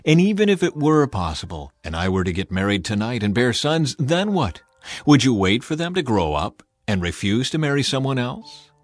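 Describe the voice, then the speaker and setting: A man's voice: mid-range voice, very animated, normal speed, no room sound, natural voice. A man voicing a bitter, grieving older widow in a storybook-style scripture reading, pleading earnestly.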